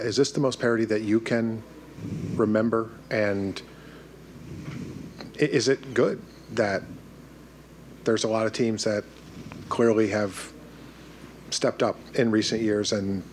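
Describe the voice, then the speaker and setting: A man's voice: lowish voice, slight background noise, poor audio. A man working as a sports reporter, putting a question at a press conference.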